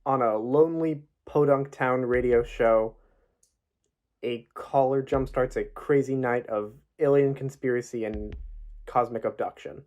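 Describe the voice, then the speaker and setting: A man's voice: mid-range voice, unhurried, darkish tone, highs cut off. A man talking as a playwright in a casual, keen promotional interview about his own work. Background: music.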